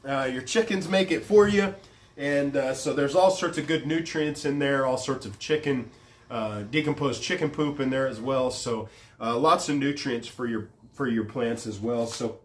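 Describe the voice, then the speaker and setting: A man's voice: fairly high pitch, moderate pace. Stuffy man rambling with ums. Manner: rambling, many ums and uhs. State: stuffy from a cold. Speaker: gardening channel host. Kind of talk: casual how-to vlog. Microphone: phone camera on a tripod.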